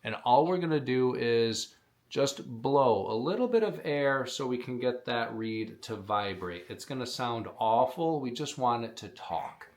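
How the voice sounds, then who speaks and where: A man's voice: mid-range voice, lively intonation, a little slow. A man explaining step by step, in a plainspoken way, how to blow a hunting call, teaching beginners on camera. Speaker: call maker teaching predator calling. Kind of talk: instructional demonstration.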